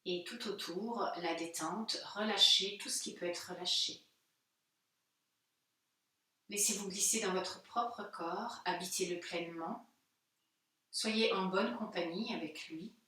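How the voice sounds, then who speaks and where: A woman, a yoga teacher giving calm, unhurried and soothing guided relaxation instructions. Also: medium pitch. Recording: very echoey room, distant microphone.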